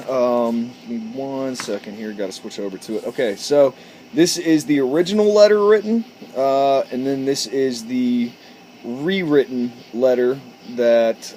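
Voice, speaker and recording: A man talking casually and reading aloud as a vlogger, informal and a little halting between passages. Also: medium pitch, warm tone, slight background noise. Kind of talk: casual storytelling to camera, reading letters aloud.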